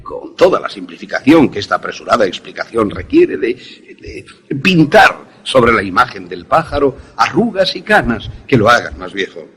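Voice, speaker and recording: Male actor as eccentric professor, very husky voice, widely swooping intonation, formal, courteous, proud, suspense radio play. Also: medium pitch, big pitch swings, fairly bright tone, heavily acted. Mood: explanatory, assured. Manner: formal, deliberate, explanatory.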